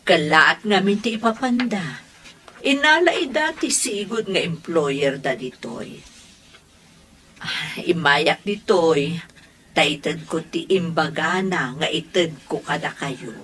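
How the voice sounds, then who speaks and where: A woman's voice: low voice, animated, slow pace, a bit bright, slight background noise, heavily acted. A woman with an Ilocano accent playing a tender, anxious middle-aged mother, speaking with emotion. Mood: apologetic.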